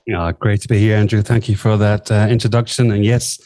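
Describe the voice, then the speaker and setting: A man's voice: fairly low pitch, little pitch movement, brisk, darkish tone, dry recording, studio quality. A man in his fifties with an Irish accent, telling personal stories conversationally as a podcast guest, in a wry, self-effacing way. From southwest of Ireland. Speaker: podcast guest, former nurse and coach.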